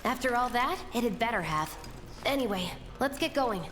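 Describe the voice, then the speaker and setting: A woman's voice: fairly high pitch, expressive, moderate pace, a little hiss or hum. A woman playing an earnest, spirited young rebel bomb-maker in a video game, intense in delivery. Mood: worried, defensive. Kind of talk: dramatic video game acting. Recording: long reverb, loud rain and ambience.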